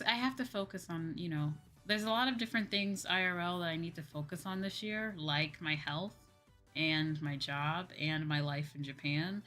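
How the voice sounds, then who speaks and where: A woman's voice: lowish voice, bright, forceful tone. A woman with an American accent chatting casually on a livestream, informally answering viewer comments and repeating phrases for emphasis. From Midwestern United States. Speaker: VTuber livestreamer. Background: music.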